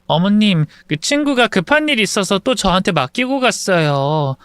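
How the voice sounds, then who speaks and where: Man voicing a young daughter-in-law, polite, apologetic, high voice. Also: very animated, normal speed, fairly bright tone, clear, resonant tone, no echo.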